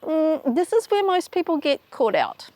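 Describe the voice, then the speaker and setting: A woman with a New Zealand accent hosting a travel explainer in a brisk, wry, plainspoken way. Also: high-pitched, wildly swooping pitch. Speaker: travel video host. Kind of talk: scripted explainer.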